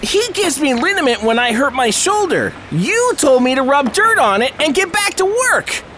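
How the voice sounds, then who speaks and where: A man acting in an audio drama as an eager, naive young intern with excitable, slangy talk. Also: extremely high pitch, normal speed, slight background noise, full character voice. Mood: upbeat, indignant.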